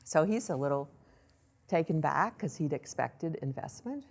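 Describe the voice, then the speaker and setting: A woman presenting a startup case study to an audience in a lively storytelling way, acting out what people said. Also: low-pitched, moderate pace. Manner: lively storytelling, acts out quoted voices. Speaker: accelerator program director giving a presentation.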